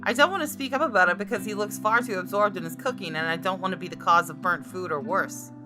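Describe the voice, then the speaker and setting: A woman's voice: medium pitch, fast talker. A woman hosting a gaming video from a room at home, mixing informal commentary with reading game text aloud. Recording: faint music behind the voice.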